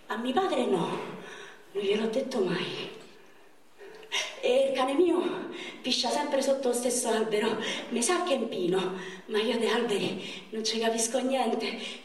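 A woman performing a theatrical monologue as a battered, frail young victim, with a Roman accent and halting, repetitive, coarse speech. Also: on the high side, natural voice. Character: battered frail 31-year-old Roman victim, raging and wounded. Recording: echoey stage, distant mic, background noise.

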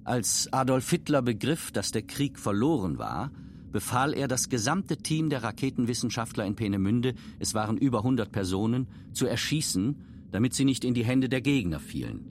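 A male documentary narrator with a very lively, mid-pitched delivery reads emphatic, declarative scripted lines. There is faint noise behind the voice. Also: moderate pace.

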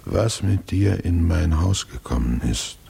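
An actor playing a grave, brooding old king in a 1950s radio drama, speaking solemnly with a husky, gravelly voice. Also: sits low, animated, measured pace, dark tone, full character voice. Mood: secretive, uneasy. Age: older. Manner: ponderous, solemn.

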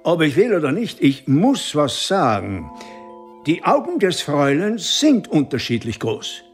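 A man's voice: on the high side, wildly swooping pitch, measured pace, soft background music. A man acting a stiff, self-important physician in a German radio drama, formal and pointedly critical. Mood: accusing.

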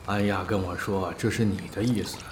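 In an echoey, noisy recording, a middle-aged business boss acted by a man speaks in a fairly low voice. He is measured and authoritative, a proud elder who grumbles casually yet indulges the young. It is modern audio drama acting. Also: steady tone, close to an everyday voice. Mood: measured, disapproving.

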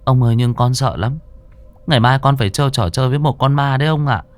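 Dude doing a scared little kid, high and whiny, pleading. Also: male voice, fast, no room sound, lightly acted. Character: frightened naive young boy. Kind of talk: audio horror storytelling.

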